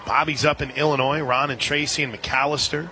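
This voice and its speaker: A man doing live radio play-by-play commentary of a softball game. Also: on the high side, little pitch movement, fairly quick, poor audio. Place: outdoor softball stadium broadcast position. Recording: outdoor ballpark, clear crowd noise behind.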